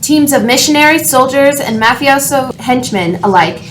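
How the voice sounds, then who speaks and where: A woman's voice: sits high, expressive, normal speed, a little hiss or hum, low-quality recording. A woman reading scripted, dramatic narration as a storyteller in a radio play.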